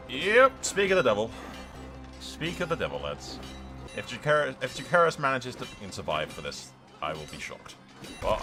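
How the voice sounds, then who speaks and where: A male gamer with a fairly low, very bright and punchy voice commentates on his playthrough. He swears freely and banters excitedly as he goes, with music clearly playing behind him. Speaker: host of a gaming channel. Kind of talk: playthrough commentary with in-character narration.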